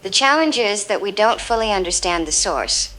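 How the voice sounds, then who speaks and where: A woman's voice: medium pitch, lively intonation, moderate pace, sharp tone. An actress playing a cautious, frank female scientific analyst, careful and explanatory in a news interview. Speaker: expert analyst guest. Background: music.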